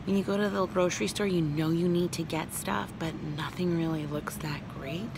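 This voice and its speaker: A woman vlogger chatting casually to her viewers, hoarse from a cold and losing her voice. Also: fairly low pitch, fairly even intonation, moderate pace, noisy background. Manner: chatty, talks straight to viewers with asides. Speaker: vlogger showing a grocery haul. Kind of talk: casual chatty vlog talk.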